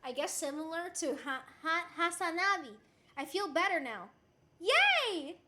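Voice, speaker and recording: A woman's voice: very high voice, a little slow, roomy sound, very noisy. A woman with a slight, Americanized Puerto Rican accent and Spanish as her first language, chatting casually and playfully as a livestreamer. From Puerto Rico. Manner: playful, expressive streamer delivery, switches into Spanish. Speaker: livestreamer reading and answering chat.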